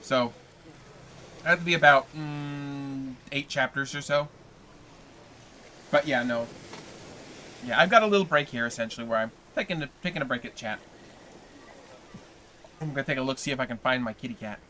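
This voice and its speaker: A man on a livestream taking a break from reading a novel aloud, chatting in a relaxed, rambling way with viewers. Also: medium pitch, moderate pace, long pauses, a little hiss or hum, low-quality recording. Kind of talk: casual stream chat with viewers.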